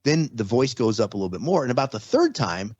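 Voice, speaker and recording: A middle-aged man in relaxed conversation who rambles and restarts his sentences as he works toward his point. The recording is dry and a little dull. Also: mid-range voice, fast, husky, no echo. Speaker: podcast guest. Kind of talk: casual conversation. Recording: slightly dull, dry sound.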